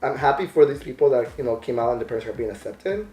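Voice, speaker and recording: A man's voice: medium pitch, lively intonation, quick pace, dark tone. Thoughtful, hesitant man in echoey room. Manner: thoughtful, hesitant, many fillers. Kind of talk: casual conversation. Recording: echoey room with faint music.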